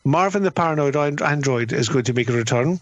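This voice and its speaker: A man's voice: fairly high pitch, quick pace, no room sound, lo-fi sound. Man, fifties, enthusiastic emphatic critic, conversational, dry recording. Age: 50s. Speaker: radio critic giving a review. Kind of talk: conversational spoken review.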